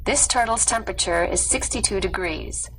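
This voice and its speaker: A woman narrating a scripted nonfiction story in a measured, clearly read delivery. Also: mid-range voice, a bit bright, a little hiss or hum, rough recording. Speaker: audiobook narrator.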